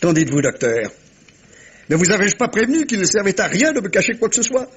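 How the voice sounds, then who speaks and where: Shrewd theatrical detective, high-pitched, husky, commanding, dramatic pauses, vintage radio mystery style. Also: male voice, sing-song, full character voice. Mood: triumphant. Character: shrewd theatrical middle-aged male detective, self-assured and commanding. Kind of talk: 1950s-style French radio mystery acting.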